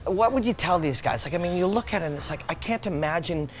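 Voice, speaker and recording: A man interviewing a guest in a relaxed, chatty way, asking loosely phrased questions. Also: high-pitched, fast talker.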